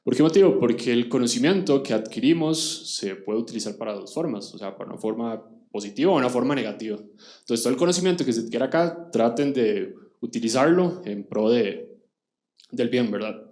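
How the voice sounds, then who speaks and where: A man's voice: mid-range voice, animated, fairly quick, a little mellow, roomy sound. A man from Costa Rica with a Costa Rican accent, giving an explanatory conference talk directly to his audience. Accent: Costa Rican Spanish. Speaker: conference speaker.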